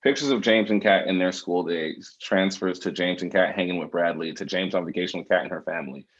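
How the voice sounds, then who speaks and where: A man's voice: low-pitched, fairly quick, highs cut off. A man, the writer, reading out stage directions as narration at a script table read.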